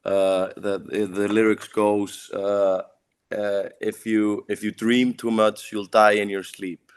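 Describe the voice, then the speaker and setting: A man's voice: on the low side, little pitch movement, takes it slowly, clean tone. A young man with an Icelandic accent, a band singer answering interview questions in casual English that is not his first language. He searches for words and leans on "like" as he talks. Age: young adult.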